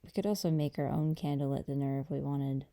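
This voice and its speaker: Woman acting as gentle girlfriend, very dark mellow tone, low pitch, intimate ASMR roleplay, soft hesitant chat. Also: steady tone. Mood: relaxed, affectionate. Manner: soft, hesitant, unhurried conversational delivery. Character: affectionate, gentle girlfriend chatting with partner.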